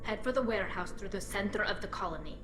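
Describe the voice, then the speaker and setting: A woman's voice: medium pitch, lively intonation, fast talker, close to an everyday voice. An actress playing an earnest but firm young female alien team leader in a video game. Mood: firm, commanding. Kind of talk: cinematic video game dialogue. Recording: echoey, music behind.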